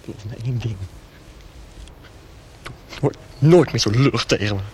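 A man acting an anguished, hot-headed young man in love, in a radio soap, speaking haltingly and emotionally. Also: medium pitch, background noise, close to an everyday voice. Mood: hurt, confessional.

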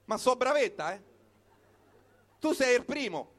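A man's voice: very high-pitched, very animated, measured pace, a bit bright. A man with a Roman accent performing a comic storytelling monologue in short, colloquial, punchy phrases. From Rome, Italy. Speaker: comic performer reciting his own piece. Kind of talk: live comic storytelling monologue built on wordplay.

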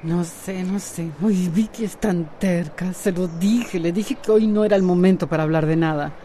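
An actress playing a proud, bold, exasperated friend in a radio soap opera, emphatic and dramatic. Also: female voice, on the low side, moderate pace, dark tone, a little hiss or hum, lightly acted. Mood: anxious.

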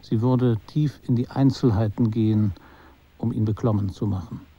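An actor playing a detached, brooding narrator in a literary radio drama, deliberate and at times hushed. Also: male voice, fairly low pitch, steady tone, long reverb tail, dull audio, background noise, lightly acted. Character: detached, brooding male narrator of a broken romance.

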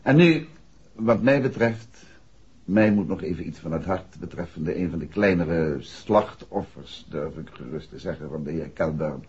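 An actor playing an assured detective husband explaining a case in a 1960s Dutch radio drama. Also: male voice, sits low, expressive, normal speed, darkish tone, slightly rough, full character voice. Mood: earnest. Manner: expository, assured, conversational. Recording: muffled, dull 1960s radio recording.

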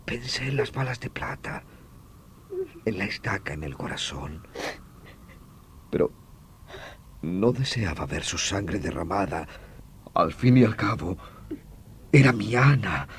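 A man playing an anguished, deranged killer of his beloved in a radio horror drama, with theatrical, halting delivery between whispers and outcries. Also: lowish voice, sing-song, a little hiss or hum, heavily acted. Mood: hushed confession. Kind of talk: melodramatic Spanish-language radio horror acting.

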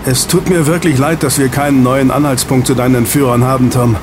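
A man's voice: medium pitch, fairly even intonation, fairly quick, natural voice. Man playing a chatty snack bar boss, street noise. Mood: reassuring. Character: friendly ex-agent snack bar boss, proud and coaxing. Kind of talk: German audio drama dialogue. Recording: clear street and traffic ambience. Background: outdoor city street with vehicles.

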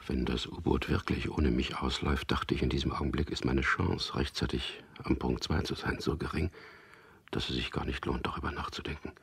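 An actor in a German radio drama playing a resolute man on a secret mission, narrating deliberately and introspectively in a gravelly, husky, hushed voice. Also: a bass voice, fairly even intonation, full character voice. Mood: grave, brooding. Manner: deliberate, introspective, recounting events.

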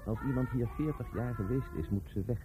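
A man's voice: mid-range voice, brisk, heavy background noise, close to an everyday voice. A man playing a grave, sorrowful father, speaking in a solemn, subdued, firm way in a Dutch radio drama. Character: grave, sorrowful father speaking gently but firmly to child. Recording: muffled, music behind.

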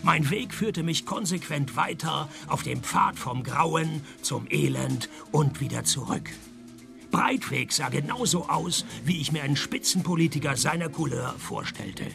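An actor playing a sardonic, hard-drinking male private detective in a radio play, deadpan in wit. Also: a little high, a little slow, vocal fry, over music, heavily acted. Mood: wry narration. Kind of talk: scripted noir parody narration and dialogue.

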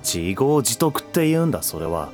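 An actor playing a guarded, proud young heir in a Japanese voice drama, with a curt, blunt, defensive way of talking. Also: male voice, lowish voice, very animated, moderate pace, darkish tone, soft background music. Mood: resentful.

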